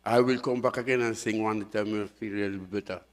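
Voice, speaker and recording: A man's voice: on the low side, steady tone, fast. An older man giving a loose, rambling acceptance speech. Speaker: award recipient.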